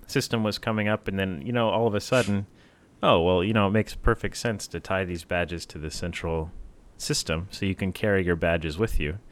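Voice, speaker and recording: A man with a very low voice talks through software plans in a loose, relaxed podcast chat. He fills his sentences with "uh" and often restarts them. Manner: relaxed, many uh fillers and restarts. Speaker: podcast co-host, software team member. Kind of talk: casual tech podcast chat.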